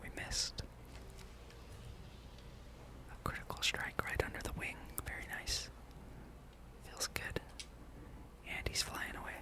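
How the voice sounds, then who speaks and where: A person speaking in a breathy, unvoiced whisper, giving rambling, playful ASMR commentary while playing a video game. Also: slow pace, long pauses. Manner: hushed, playful musing, reads game dialogue aloud. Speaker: gameplay streamer.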